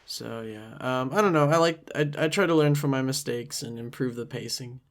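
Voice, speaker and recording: A man talks in an unscripted, reflective way as a creator commenting on his own work. His pitch sits in the middle for a male voice. He rambles a little and is frank about what could be better. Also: moderate pace. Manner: rambling, reflective, self-critical. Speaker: creator giving commentary on own animated parody series. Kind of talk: casual unscripted director's commentary. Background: episode audio with cartoon voices playing under the talk.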